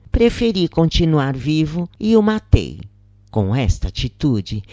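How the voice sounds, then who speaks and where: A narrator reading a scripted first-person account in measured, deliberate phrases with pauses. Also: mid-range voice, darkish tone, no echo, studio quality.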